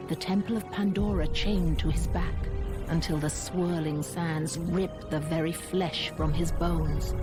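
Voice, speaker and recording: An actress playing a stately, commanding goddess in a video game, speaking in a grave, formal and deliberate way. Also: female voice, fairly low pitch, natural voice. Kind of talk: video game cutscene acting.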